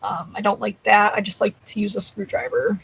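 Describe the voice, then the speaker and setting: Stream host, woman, raspy with vocal fry, rambling chatty delivery, voice tiring. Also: mid-range voice, steady tone, a little fast, fairly bright tone, poor audio. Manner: rambling, conversational, answers chat comments. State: dry throat, voice tiring. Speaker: live show host. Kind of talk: casual live-stream chat.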